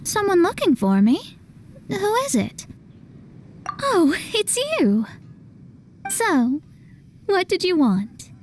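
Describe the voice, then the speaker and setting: A woman's voice: very high voice, huge pitch swings, takes it slowly, long pauses, a little hiss or hum, exaggerated voice. A woman voicing a proud, haughty young female astrologer with a self-important manner in a video game. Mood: aloof. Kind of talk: video game character dialogue.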